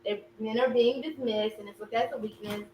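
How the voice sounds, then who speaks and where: A young woman co-hosting a casual podcast chat, expressive and quick to laugh. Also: a little high. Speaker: podcast co-host. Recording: roomy echo, far from mic, faint hum.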